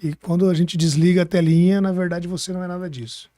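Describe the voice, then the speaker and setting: Man, Brazilian accent, slightly high mellow voice, casual podcast host, colloquial. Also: steady tone, quick pace, no room sound, clean studio sound. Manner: colloquial, seeks agreement with tag questions. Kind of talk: casual chat, interviewing.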